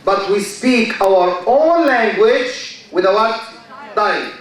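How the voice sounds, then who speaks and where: A man with an Egyptian accent, a native Arabic speaker, gives a university lecture, speaking slowly, repeating key words and quizzing his students. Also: high voice, expressive. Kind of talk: classroom lecture. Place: large lecture hall. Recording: echoey hall, mic far off.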